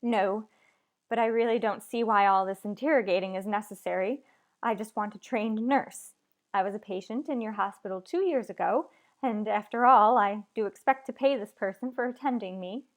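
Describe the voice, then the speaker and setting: A woman's voice: mid-range voice, moderate pace, natural voice. A woman playing a nervous, imperious invalid in an old-time radio thriller, agitated and pleading. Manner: demanding, agitated, breathless pleading.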